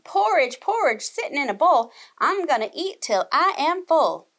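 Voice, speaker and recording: Casting: woman to play a bold, bouncy storybook little girl, high and swooping, chanting her lines. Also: very animated, moderate pace, a bit bright, full character voice. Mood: playful, bouncy. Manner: sing-song chanting, exclamatory. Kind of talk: children's storybook read-aloud.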